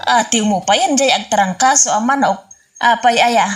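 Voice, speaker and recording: A woman's voice: fairly high pitch, moderate pace, faint music behind, close to an everyday voice. A woman with an Ilocano accent playing a brash, teasing, scolding wife in a comic radio drama.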